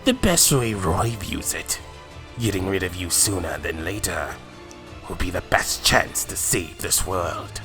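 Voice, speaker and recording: A man acting as a cruel anime villain, deep and unhurried, savoring every taunt with mocking menace. Loud music plays behind him as he gloats. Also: expressive. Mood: sinister persuasion. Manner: mocking, slow, menacing, chuckling. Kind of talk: fan-made anime roleplay drama acting. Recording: music playing loudly behind the voice.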